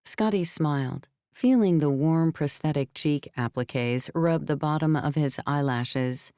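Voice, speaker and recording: Female audiobook narrator: deep for a woman, crisp scripted storytelling, very dry studio recording. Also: a little slow, dark tone, dry recording.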